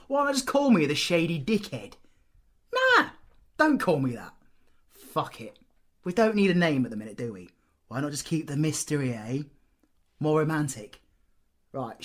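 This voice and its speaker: A man's voice: fairly high pitch, fairly bright tone. A man with a British accent playing a cocky, foul-mouthed supervillain in an audio roleplay, full of flippant, mocking banter. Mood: indignant.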